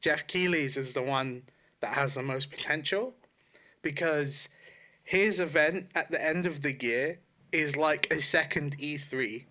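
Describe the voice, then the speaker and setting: A man's voice: on the high side, a little slow, sharp tone. A man co-hosting a podcast, chatting casually in an informal, sweary way about video games. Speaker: podcast co-host.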